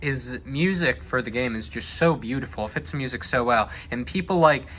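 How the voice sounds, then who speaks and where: A man's voice: mid-range voice, normal speed, a bit bright, slight background noise. A young man chatting casually and giving his opinions, thinking aloud as he goes. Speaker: discussion host. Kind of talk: casual chat about video game movies.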